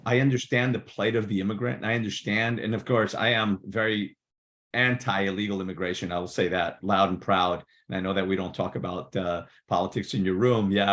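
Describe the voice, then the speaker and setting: Guest, Portuguese-born man, low register, loose rambling storyteller, plenty of "you know". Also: a bit bright. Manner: rambling with many fillers and restarts. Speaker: podcast guest. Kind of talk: casual interview storytelling. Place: New Jersey.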